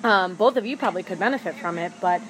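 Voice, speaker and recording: A woman's voice: mid-range voice, fast talker. A woman hosting a casual podcast chat with friends, talking earnestly and filling her speech with "like". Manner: earnest, heavy use of "like". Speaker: podcast host. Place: outdoor community venue. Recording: noisy background ambience.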